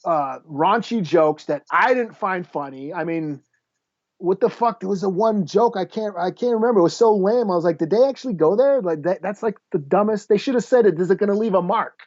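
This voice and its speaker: A man's voice: high-pitched, fairly quick, a little mellow, dry recording. A man co-hosting a comic book podcast, giving blunt, profane, slangy opinions in casual chat on a dull lo-fi recording.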